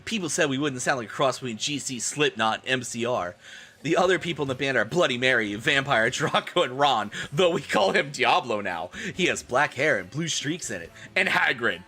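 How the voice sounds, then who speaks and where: A man doing comedic live-stream commentary and dramatic reading in an animated, theatrical, profane manner. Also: mid-range voice, brisk, breathy edge.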